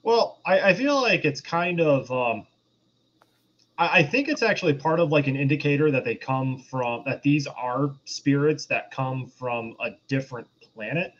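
A man's voice: mid-range voice, expressive, normal speed, fairly bright tone. Man rambling through explanations, slightly dull audio. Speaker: podcast co-host. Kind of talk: casual film discussion.

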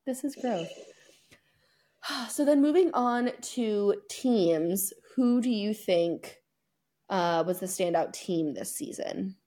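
Mellow-voiced woman chatting casually on a podcast. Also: medium pitch, lively intonation, slow. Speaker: podcast host. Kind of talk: casual sports podcast chat, season recap.

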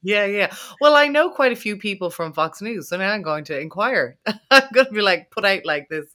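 A woman's voice: mid-range voice, lively intonation, moderate pace, a bit bright. A woman hosting a podcast interview, chatty and self-mocking, with many fillers and restarts. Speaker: podcast host. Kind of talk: casual interview chat.

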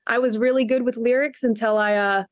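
A soft-spoken young woman from Texas, a musician chatting as an interview guest with a lot of ums. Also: a little high, steady tone, brisk, dry recording, clean studio sound. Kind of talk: casual interview chat.

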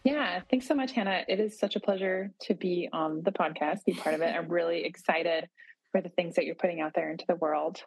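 A woman in her thirties talks in a lowish, breathy voice, recorded dry and close. She speaks as a podcast guest, reflective and searching, often restarting a phrase to find the right words. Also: a little fast, no echo. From Boise, Idaho area, USA. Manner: thoughtful, with frequent restarts and hedges. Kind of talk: reflective casual conversation.

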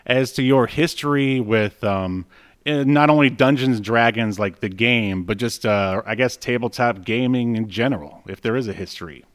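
A man's voice: medium pitch, moderate pace, dry recording, clean studio sound. A man co-hosting a podcast in casual, joking banter, laughing often and saying "uh" a lot. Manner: jokey, laughs often, many "uh" fillers. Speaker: podcast co-host.

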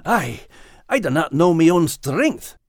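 A man's voice: a little high, sing-song, slow pace, no room sound, studio quality, voice pushed up, full character voice. A man voicing a plucky, good-natured dog adventurer in an audiobook, with a Scottish accent and an earnest, folksy way of exclaiming. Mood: proud.

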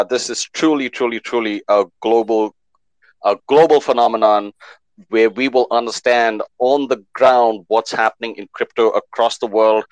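A man hosting a live online panel show, lively and fond of repeating words for emphasis. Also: medium pitch, no room sound, rough recording. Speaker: host.

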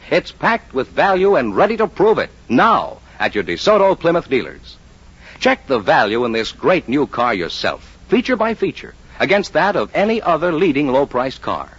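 A man's voice: a little high, breathy edge. An American man announcing and selling in polished 1950s radio style. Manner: polished salesman delivery. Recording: muffled vintage radio recording.

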